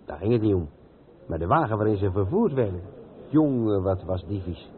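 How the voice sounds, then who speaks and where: An actor playing an experienced, patient truck driver who explains things step by step in a radio play. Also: male voice, on the low side, animated, normal speed, lightly acted. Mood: explaining, chatty. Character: experienced, patient long-haul truck driver showing the ropes. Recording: tinny, band-limited old radio recording with noise.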